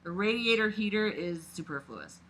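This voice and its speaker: An expressive, exclamatory reader doing a dramatic read-aloud of a novel, breaking off into mocking commentary and swearing. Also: mid-range voice, moderate pace, a little hiss or hum, poor audio.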